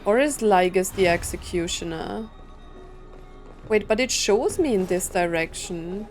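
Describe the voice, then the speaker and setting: A woman's voice: mid-range voice, expressive, measured pace. Woman musing aloud over game music. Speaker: video game streamer. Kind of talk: casual playthrough commentary. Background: video game music.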